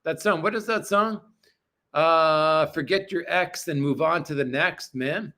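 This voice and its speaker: A man's voice: a little high, unhurried, bright, forceful tone. Crude, blunt man in his forties. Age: 40s. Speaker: livestream host. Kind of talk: casual livestream chat reading viewer comments. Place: apartment.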